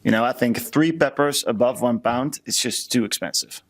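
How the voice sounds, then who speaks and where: A man's voice: mid-range voice, normal speed. A man from the Netherlands with a Dutch accent, chatting casually and self-deprecatingly as a podcast co-host. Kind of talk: casual chat.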